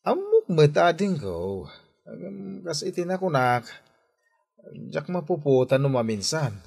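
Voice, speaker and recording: Boozy husband, radio drama, excuse-making, drawling, very slow, hugely varied pitch. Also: male voice, wildly swooping pitch, gravelly, darkish tone, husky, heavily acted. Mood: sheepish. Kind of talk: Ilocano radio drama acting.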